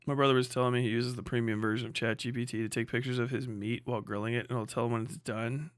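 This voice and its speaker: A man's voice: mid-range voice, flat delivery, quick pace, no room sound, studio quality. A man hosting a casual livestream, talking bluntly with lots of slang, swearing and sardonic exaggeration. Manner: sardonic, hyperbolic. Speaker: livestream host. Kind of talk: casual livestream rant, chatting with viewers.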